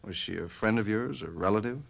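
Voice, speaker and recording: Casting: measured police lieutenant, low creaky voice, official but hesitant, old-style radio play. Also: male voice. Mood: businesslike. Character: businesslike, tactful male police lieutenant. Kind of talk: golden-age style radio drama acting.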